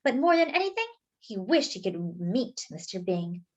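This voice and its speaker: A woman reading a picture book aloud expressively to young children, pausing to explain things as she goes. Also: mid-range voice, sing-song. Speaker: children's storyteller. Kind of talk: animated picture-book read-aloud with asides.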